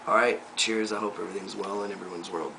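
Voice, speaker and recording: A young man speaks in a very deep, low voice, reflective and soft, with frequent pauses and fillers as he records a personal video update. He sits a little away from the microphone. A clear layer of room noise runs underneath. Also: steady tone. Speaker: vlogger. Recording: distant mic with noticeable room noise.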